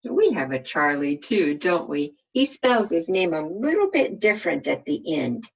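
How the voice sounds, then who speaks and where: Woman doing kids read-aloud, really unhurried, lowish voice, expressive teacher style. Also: muffled recording. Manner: expressive, asking listeners questions. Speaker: kindergarten teacher reading aloud. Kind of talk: children's storybook read-aloud.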